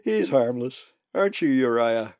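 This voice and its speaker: A man's voice: mid-range voice, animated, measured pace, no room sound. A man voicing a cheerful, teasing female raven, with a raised, breezy delivery. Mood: breezy, amused. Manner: breezy, bright, amused. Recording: dull lo-fi recording.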